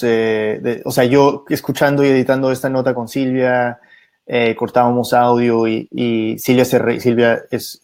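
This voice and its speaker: Middle-aged man, radio producer, reflective and halting, mid-pitched. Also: darkish tone. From Peru, raised abroad. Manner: thoughtful, many fillers and restarts. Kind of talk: reflective conversation.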